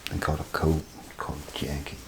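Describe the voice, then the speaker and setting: A man speaking in a hushed, half-whispered, casual way in a soft-spoken ASMR role play as an attendant. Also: rumbling low, monotone, quick pace, background noise. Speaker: ASMR performer playing a bathroom attendant.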